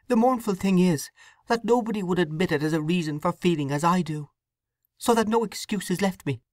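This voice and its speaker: A woman's voice: fairly low pitch, fairly quick, darkish tone, no echo, studio quality, pitched above the performer's own voice, lightly acted. A woman voicing a young, distraught woman torn by conscience, pleading and breathless. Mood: resolute.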